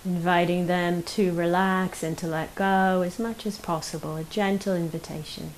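A woman leading a guided meditation as a teacher, speaking gently and unhurriedly with long pauses. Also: fairly low pitch, steady tone, rough recording. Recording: faint hiss behind the voice.

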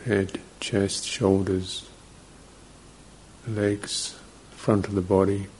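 A man with a lowish voice leads a guided meditation at a very slow pace. He gives gentle instructions in short fragments, leaving long pauses between them. His delivery is very even and flat, over a faint background noise. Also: low-quality recording. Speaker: meditation teacher.

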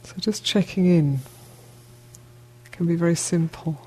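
A woman teaching a guided meditation, speaking gently and unhurriedly in short phrases with long pauses between them. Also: fairly low pitch, husky, low-quality recording.

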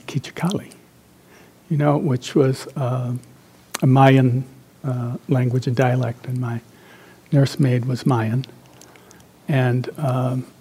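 Gravelly, soft-spoken older meditation teacher. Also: male voice, mid-range voice, fairly even intonation. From Central America. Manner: halting, reflective storytelling that trails off softly. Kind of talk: informal dharma talk with personal stories.

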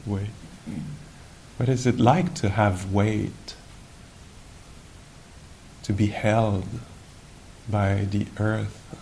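A man leading a guided meditation as a teacher at a retreat, speaking in an unhurried, musing way with gentle questions and long pauses. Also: creaky. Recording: noisy live recording with low rumble.

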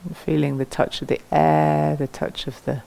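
A woman leading a guided meditation as a teacher, speaking gently and leaving long pauses between phrases. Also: low-pitched, flat delivery, vocal fry, rough recording.

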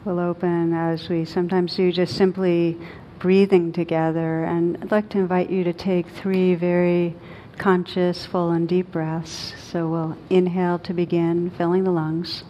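A woman leading a guided meditation as a teacher, speaking gently and soothingly, unhurried, with long pauses. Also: lowish voice, level tone, dull audio, a little hiss or hum.

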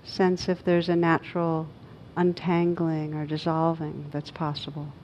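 A woman teaching a guided meditation, speaking gently in short, unhurried phrases with pauses between them. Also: deep voice, completely flat delivery, lo-fi sound, a little hiss or hum.

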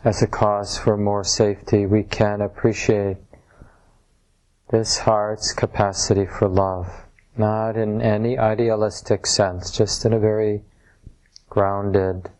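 A man with a fairly low, very even voice guides a meditation. He speaks in short, measured phrases and leaves space between them, teaching in a gently instructive way. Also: poor audio. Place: room at a retreat center.

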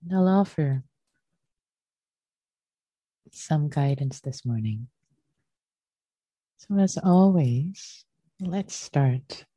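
A woman meditation teacher speaks in a low, deeply mellow and relaxed voice. She guides gently and very slowly, leaving long silences between short phrases. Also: breathy edge. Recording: muffled, roomy sound.

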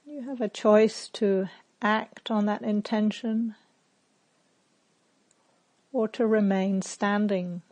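A woman meditation teacher leading a guided meditation in short, measured phrases with long pauses. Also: medium pitch, fairly even intonation, a little mellow.

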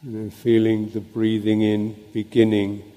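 A man teaching as a Buddhist monk, leading a guided meditation in a contemplative, halting way with pauses between short phrases. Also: on the low side, monotone, warm tone. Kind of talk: guided meditation and Dhamma talk. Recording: long reverberant hall echo.

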